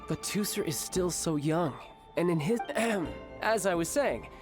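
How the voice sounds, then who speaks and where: A man playing a cocky, playful young fighter and doting big brother in an English video game, theatrical and smooth-talking. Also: sits high, expressive, moderate pace. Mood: smooth, placating. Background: game music.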